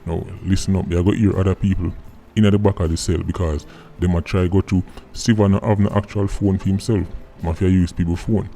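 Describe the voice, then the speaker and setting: A man's voice: sits low, steady tone, a little fast, creaky, mellow, slight background noise. A Jamaican man giving explanatory commentary as a vlog host, emphatic and often checking in with "right". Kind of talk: explanatory commentary in Patois-mixed English. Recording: music under the voice.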